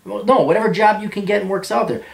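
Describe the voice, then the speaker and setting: A man hosting a podcast, answering listener questions in a blunt, sarcastic way and mocking some of them in high put-on voices. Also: fairly even intonation, quick pace, vocal fry, roomy sound. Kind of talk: blunt casual monologue reading out and answering listener mail.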